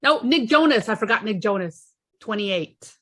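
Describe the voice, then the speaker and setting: A woman's voice: medium pitch, animated, moderate pace, a bit bright. A woman hosting a podcast, chatting casually over a video call, laughing often and reading from a list. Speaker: podcast host. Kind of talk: casual chat.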